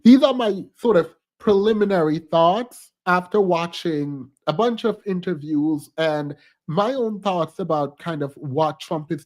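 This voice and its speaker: A man's voice: on the high side, a little mellow. A man hosting a commentary channel, talking casually and reflectively about politics while congested from a cold. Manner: chatty and reflective, frequent ums and restarts, occasional laughs.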